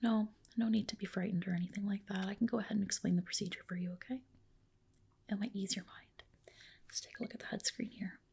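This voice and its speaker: A woman whispering softly and breathily in a soothing, reassuring ASMR roleplay as a dermatologist, close to the microphone. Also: medium pitch, fairly even intonation, gravelly, slightly rough. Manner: soothing, reassuring, unhurried explanations.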